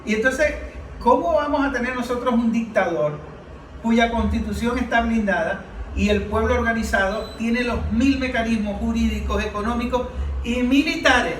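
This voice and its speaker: A high-pitched male voice with a Venezuelan accent comes across a roomy space, some way from the mic. He argues emphatically in an informal panel discussion, throwing out rhetorical questions. Faint noise sits behind him. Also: moderate pace, fairly bright tone, far from the mic. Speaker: political analyst on a panel.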